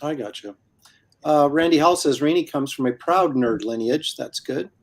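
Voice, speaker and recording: A man's voice: a little high, normal speed. A man in his sixties hosting a casual live stream, chatting with a guest and reading viewer comments aloud. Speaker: host.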